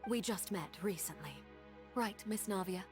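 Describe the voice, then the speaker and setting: An actress playing a shrewd, courteous woman of high rank in a video game, speaking formally and with cool command. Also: music in the background, close to an everyday voice. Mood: polite, shrewd. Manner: formal, measured wording.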